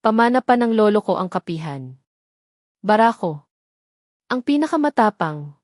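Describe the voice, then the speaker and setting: A woman narrator voicing a proud but humbled male coffee farmer in a romance story, halting and earnest. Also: mid-range voice, very animated, a little mellow, no echo, clean studio sound, natural voice. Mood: pleased, proud.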